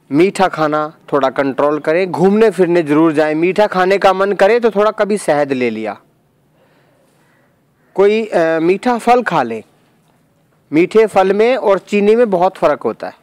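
High-pitched man explaining, emphatic. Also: lively intonation, unhurried, long pauses, a little mellow, dry recording. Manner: emphatic, repeats phrases for stress. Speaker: presenter of home remedy tutorial. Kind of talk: instructional voice-over explanation.

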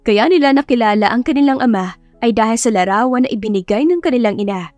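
A woman's voice: on the high side, fast talker, dry recording. A woman with a Filipino accent reading a romance story as scripted, dramatic audiobook narration. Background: soft background music.

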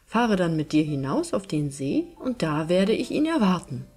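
A woman's voice: fairly low pitch, sing-song, moderate pace, darkish tone, breathy edge. A woman voicing a bold, defiant teenage huntsman in a fairy-tale audiobook, firm and plain-spoken. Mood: confident. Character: bold, defiant young huntsman boy, fearless.

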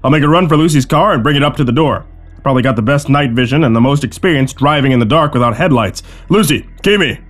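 A man voicing a calm, sardonic man who speaks drily and soothingly in a tense story. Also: medium pitch, close to an everyday voice. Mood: practical. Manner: unhurried, dry, soothing.

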